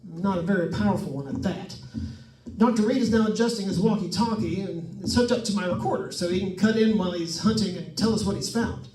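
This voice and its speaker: A man's voice: sits high, brisk, crowd noise, close to an everyday voice. A man playing a jokey, chatty radio host on a ghost hunt in old-time radio drama style, with folksy asides to listeners. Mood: uneasy, narrating. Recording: echoey room, distant microphone.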